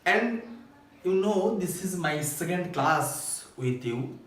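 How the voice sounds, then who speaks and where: A man with a Bangladeshi accent teaching a school English grammar lesson, explaining deliberately and emphatically phrase by phrase. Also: high voice, lively intonation, slight background noise. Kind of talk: recorded classroom grammar lesson. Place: echoey indoor room at a board. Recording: very echoey room, distant microphone.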